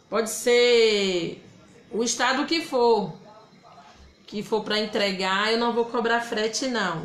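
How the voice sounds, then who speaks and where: A woman's voice: a little high, fairly bright tone. A woman from Bahia with a Bahian Brazilian accent, hosting a casual live craft demonstration and chatting with viewers by name. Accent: Bahian Brazilian Portuguese. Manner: chatty, greets viewers by name and reads out their comments. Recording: roomy echo.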